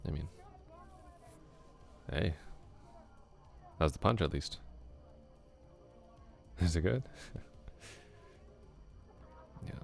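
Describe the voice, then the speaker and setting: A man playing a laid-back flirty classmate, very deep. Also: lively intonation, drawn-out words, gravelly, long pauses, a little mellow, faint music behind, exaggerated voice. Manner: casual, easygoing, chuckles often. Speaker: ASMR roleplay voice actor. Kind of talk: ASMR roleplay, soft close talk.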